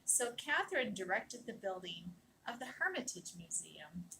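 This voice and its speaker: A woman reading a children's picture book aloud in an expressive, dramatic storytelling manner, leaning on key words and drawing them out. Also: mid-range voice, normal speed, a little hiss or hum, rough recording. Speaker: storybook reader.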